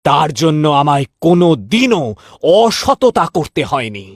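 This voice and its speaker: A man playing a stern, honest, weary middle-aged father and shopkeeper in a cartoon, speaking gravely and emphatically. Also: on the high side, sing-song, a little slow, dry recording, studio quality, full character voice. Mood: indignant. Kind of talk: cartoon voice acting.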